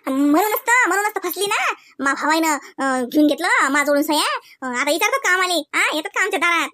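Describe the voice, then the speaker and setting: A woman's voice: very high voice, pushed and bright, dry recording, clean studio sound, heavily acted. A woman playing an aggrieved, stubbornly defensive older village wife in a Marathi comedy cartoon, whining and complaining in a rural Maharashtrian accent. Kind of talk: comic cartoon dialogue, domestic argument.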